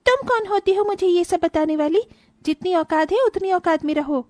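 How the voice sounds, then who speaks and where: A woman voicing a haughty, selfish young daughter-in-law in an animated moral tale, dismissive in manner. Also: very high-pitched, normal speed, no room sound, clean studio sound. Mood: haughty, annoyed.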